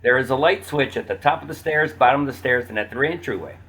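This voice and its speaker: A man's voice: medium pitch, fast, fairly bright tone, husky. A man talking informally as a guest in a podcast conversation. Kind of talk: casual chat.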